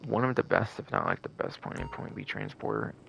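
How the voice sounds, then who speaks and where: A man's voice: low voice, wildly swooping pitch, fairly quick, vocal fry, a bit bright, faint music behind, rough recording. A man giving casual live game stream commentary in a rambling, repetitive way full of filler words, with some casual swearing.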